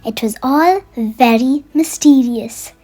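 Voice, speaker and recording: A storyteller reading a children's bedtime picture book aloud in an expressive way, made for young listeners. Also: high-pitched, takes it slowly. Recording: music under the voice. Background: music.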